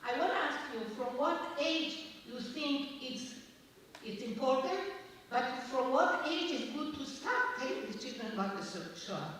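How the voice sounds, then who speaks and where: A woman asks a question from the audience, thoughtful and searching for words. Her voice is a little high. She sounds far off, in a large hall with a long echo. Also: lively intonation, measured pace, a bit bright. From Israel. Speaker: audience member asking a question. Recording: echoey hall from a distance, faint noise.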